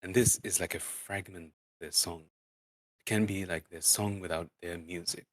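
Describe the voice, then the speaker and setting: A man narrating a book dramatically as an amateur, halting and stumbling over words now and then. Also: low-pitched, fairly even intonation, no echo. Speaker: streamer reading aloud.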